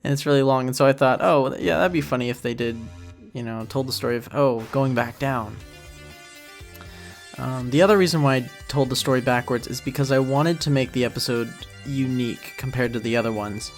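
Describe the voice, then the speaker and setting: A man's voice: mid-range voice, a little fast. A man giving casual, unscripted commentary as the creator of a series, rambling and thinking aloud. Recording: music behind the voice. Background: music and audio of the episode.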